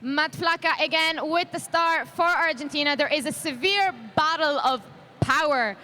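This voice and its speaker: A woman's voice: high voice, moderate pace, sharp tone. A woman doing live roller derby commentary in a chatty, joking way, with a faint arena hum behind her. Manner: jokey, chatty, laughs often. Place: leisure centre arena.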